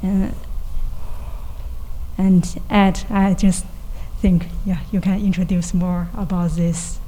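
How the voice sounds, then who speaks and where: A woman moderating a panel, handing over to the next speaker in a halting, soft-spoken way. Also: lowish voice, little pitch movement, rough recording.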